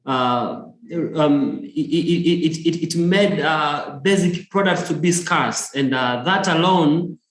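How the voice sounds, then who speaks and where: A man's voice: fairly high pitch, slow pace, hardly any pauses, echoey room, poor audio. A man from Tanzania speaking up in an online panel, hesitant, with many fillers and repeated words. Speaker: audience participant. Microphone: video call.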